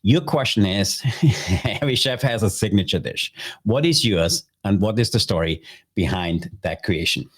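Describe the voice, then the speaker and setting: Austrian-accented man, slightly low voice. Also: moderate pace, breathy edge. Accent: Austrian German. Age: middle-aged. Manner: earnest, repeats phrases, non-native grammar. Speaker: podcast host, chef. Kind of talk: answering listener questions.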